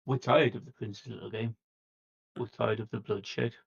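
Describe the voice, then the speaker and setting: Man as earnest vampire envoy in tabletop play: creaky mid-pitched voice, measured and persuasive, dull lo-fi livestream sound. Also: steady tone. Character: earnest, diplomatic vampire envoy seeking a truce. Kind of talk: in-character tabletop roleplay dialogue.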